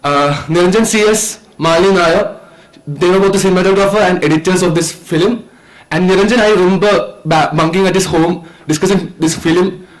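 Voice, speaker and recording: Student filmmaker, male, 19, Indian accent, higher-pitched, earnest and halting delivery from a stage. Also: fairly even intonation, low-quality recording. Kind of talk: informal thank-you speech on stage. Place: event stage at a film festival.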